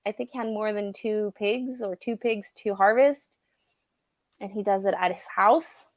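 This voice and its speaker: A woman's voice: mid-range voice, measured pace, no room sound. A French Canadian woman whose first language is French, co-hosting a live stream in casual chat, chatty and laughing easily as she hunts for words. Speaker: co-host of a homestead live stream.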